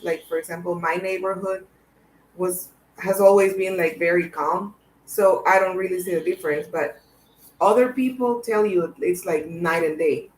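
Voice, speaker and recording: A woman's voice: on the low side, a little hiss or hum. Shy young woman, echoey room. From El Salvador. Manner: soft-spoken, shy, hesitant. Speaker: guest on a livestream. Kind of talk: casual chat.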